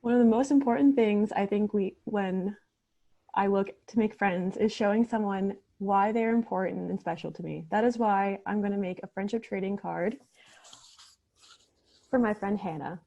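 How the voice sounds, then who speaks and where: A woman's voice: medium pitch, normal speed, gravelly, warm tone, highs cut off. A woman introducing herself and earnestly explaining a friendship craft card for a workshop. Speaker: workshop presenter. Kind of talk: instructional talk.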